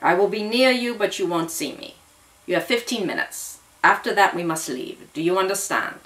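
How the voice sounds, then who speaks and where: A stocky older male bodyguard in a spy story, acted out by a woman. He is guarded and terse, giving hushed, clipped instructions. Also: mid-range voice, animated, moderate pace, lightly acted. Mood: hushed, reassuring.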